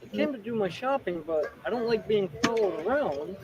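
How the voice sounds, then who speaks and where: A man's voice: high voice, expressive, brisk, crowd noise. A man in a defensive street argument, protesting and repeating himself. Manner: protesting, repetitive. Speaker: confronted member of the public. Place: outdoors in a public place. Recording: dull, noisy outdoor recording with birdsong.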